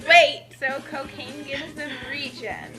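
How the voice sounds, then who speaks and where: Very high, piercingly bright woman, giggly. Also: slow pace, soft background music. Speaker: co-reader commenting on a fan fiction reading. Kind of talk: amused casual commentary.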